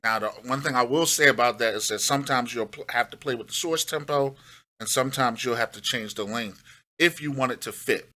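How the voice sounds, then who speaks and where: A man's voice: medium pitch, a little fast, pushed and bright. A middle-aged man giving a casual, step-by-step music production tutorial, emphatic and repeating his key phrases.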